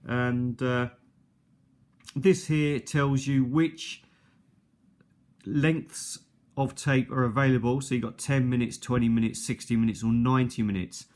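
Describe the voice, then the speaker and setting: A middle-aged man with a mid-range voice talks casually and without a script, showing an item to viewers. He takes his time, speaking slowly and hesitantly, and wanders conversationally from point to point. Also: mid-range voice, steady tone, a little mellow. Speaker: video host. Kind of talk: unscripted casual product show-and-tell. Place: indoors at a kitchen table. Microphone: handheld or nearby tablet's built-in mic.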